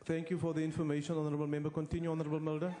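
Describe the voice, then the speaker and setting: A man chairing a parliamentary debate, giving brisk, formal procedural rulings into a desk microphone. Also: fairly high pitch, level tone, dark tone, slight background noise. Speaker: presiding chairperson. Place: large parliamentary chamber.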